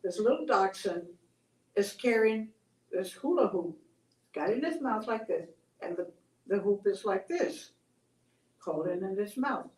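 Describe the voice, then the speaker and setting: An older woman telling stories and reflecting on them in a gentle, halting, conversational way. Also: medium pitch, animated. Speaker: storyteller, host. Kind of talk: oral storytelling and reflective chat. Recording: echoey room, distant mic.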